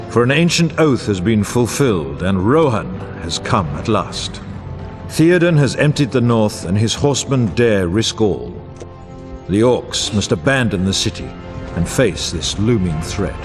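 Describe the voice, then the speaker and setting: An actor playing a solemn, grave war chronicler, narrating a fantasy game in a grandiose, formal and portentous way. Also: male voice, on the low side, very animated, measured pace. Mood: grave, stirring. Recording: orchestral music bed under voice. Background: music.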